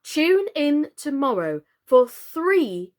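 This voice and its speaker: Female storyteller, high voice with big swings in pitch, expressive narration for children. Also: takes it slowly. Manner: theatrical, expressive. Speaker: storyteller, actress.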